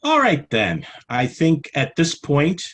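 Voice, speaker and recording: A man's voice: on the high side, huge pitch swings, slow pace, a bit bright. A middle-aged American man chairing an informal club meeting in a playful, mock-formal way, on a headset over a video call. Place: small room at home. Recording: noisy video-call audio with clicks.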